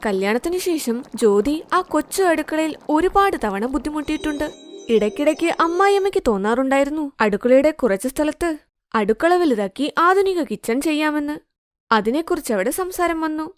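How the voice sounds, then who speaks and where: A woman's voice: high voice, lively intonation, normal speed, dry recording. A woman storyteller reading scripted narration for a moral story.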